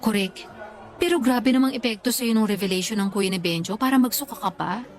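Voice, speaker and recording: A woman's voice: a little high, fairly quick, natural voice. A woman playing a frank, teasing best friend in a Tagalog radio drama, talking in playful slang. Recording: music behind the voice.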